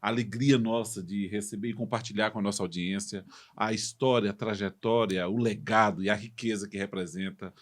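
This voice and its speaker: A male podcast co-host delivers the closing thanks in a measured, somewhat formal tone. His voice is a little low for a man. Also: fairly even intonation. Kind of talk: casual chat and sign-off.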